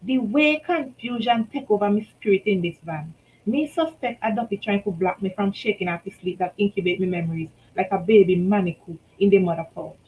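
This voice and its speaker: A Vincentian woman reading her own short story aloud, telling it in rhythmic Creole with lively shifts in loudness. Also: medium pitch, fairly quick, phone-quality sound, slight background noise. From St. Vincent and the Grenadines.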